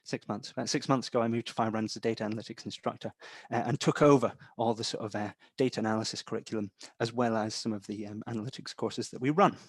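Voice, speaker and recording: A young man with a mild Scottish accent, softened by English parents, giving a chatty instructor's talk full of ums and a habit of asking "right?". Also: lowish voice, fairly even intonation, quick pace, hardly any pauses, husky, no echo. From Scotland. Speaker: data analytics instructor. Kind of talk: webinar-style lecture.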